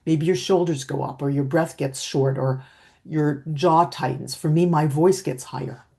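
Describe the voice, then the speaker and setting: A middle-aged woman with a low voice, coaching on communication in a slow, steady, reflective way, often checking in with "right?". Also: gravelly. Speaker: emotional intelligence coach. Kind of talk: calm teaching talk to camera.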